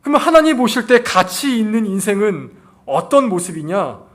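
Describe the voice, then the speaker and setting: A male pastor delivers a sermon in a high-set voice. His intonation swings widely and animatedly. He puts questions to the congregation and repeats key phrases for emphasis. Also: sing-song, normal speed, a bit bright.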